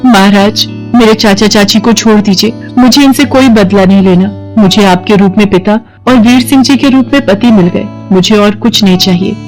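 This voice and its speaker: A woman voicing a gentle, polite and earnest poor young girl in a storybook tale. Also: medium pitch, normal speed, hardly any pauses, soft background music, natural voice. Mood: forgiving. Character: gentle, timid, kind poor young flower-seller girl. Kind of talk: Hindi moral storybook acting.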